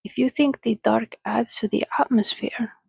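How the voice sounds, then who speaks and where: A woman voicing an eager, slightly nervous young male interviewer in a soft, breathy ASMR storybook reading. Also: a little high, steady tone, normal speed, dry recording, full character voice. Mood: uneasy.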